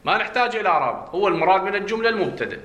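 A man's voice: sits high, lively intonation, a bit bright. A man with an Iraqi Arabic accent teaching grammar in a classroom lecture. He asks the class questions and answers them himself, drawing out key words for stress. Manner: drawn-out stressed words when explaining terms.

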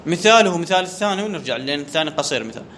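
A man with a high voice gives a grammar lesson. He keeps asking the class questions, answers them himself and repeats his key terms. A faint hiss runs under the recording. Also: expressive, rapid-fire, fairly bright tone. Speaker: grammar teacher. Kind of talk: classroom lecture on Arabic grammar.

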